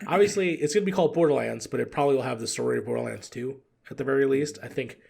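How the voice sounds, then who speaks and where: A man's voice: medium pitch, very fast, vocal fry, darkish tone, slightly rough. A man chatting casually and giving his opinions in a rambling way as a podcast guest. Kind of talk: casual chat.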